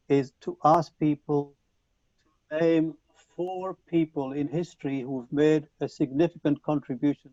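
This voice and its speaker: A man whose first language is Punjabi, giving an online lecture to teachers in a measured, reflective way with frequent restarts, heard over a video call. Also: on the high side, warm tone, no room sound. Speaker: conference speaker. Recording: dull lo-fi video call audio.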